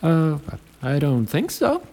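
A sweet young man fusses gently in an audio drama. His voice is slightly husky and moves up and down expressively, full of eager, innocent care. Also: fairly high pitch, huge pitch swings, slow, exaggerated voice. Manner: gentle, fussing, solicitous. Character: kindly, eager young man, a caring host.

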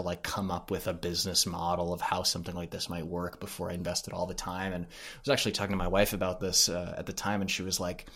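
A man's voice: low-pitched, a bit bright. A man speaking as a podcast guest in casual conversation, thinking aloud a little hesitantly. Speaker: podcast creator, interview guest.

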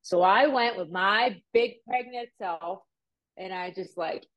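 A woman's voice: mid-range voice, takes it slowly, lo-fi sound. A woman in her thirties, born in Puerto Rico and raised in Miami, talking casually as a podcast guest and telling stories full of "like" and "you know". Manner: chatty, animated, many fillers like "like" and "you know". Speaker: real estate agent, guest. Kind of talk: casual interview storytelling.

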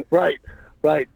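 A man's voice: no room sound, phone-quality sound. A man, a stand-up comedian, telling stories as a guest in a casual interview. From New England. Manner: chatty anecdotal storyteller.

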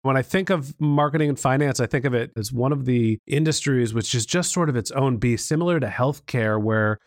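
A man's voice: mid-range voice, brisk, dry recording. Inquisitive male podcast host, dry sound. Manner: curious, openly self-deprecating about finance. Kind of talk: conversational interview.

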